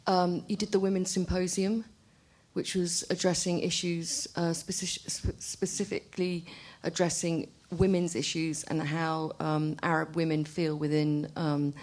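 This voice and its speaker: A woman artist speaking haltingly and off the cuff at a public discussion, often repeating words. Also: lowish voice, level tone, a little mellow. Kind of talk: spontaneous remarks at a public discussion. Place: arts centre event room. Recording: live event recording.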